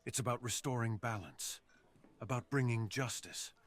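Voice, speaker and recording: A man's voice: medium pitch, normal speed, lightly acted. A man playing a fox assassin in an ASMR roleplay, speaking theatrically and intimately to the listener, savoring his phrases. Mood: courteous, gentle. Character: menacing, sadistic male fox assassin with a remorseful streak. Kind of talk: ASMR roleplay, close dramatic monologue to the listener.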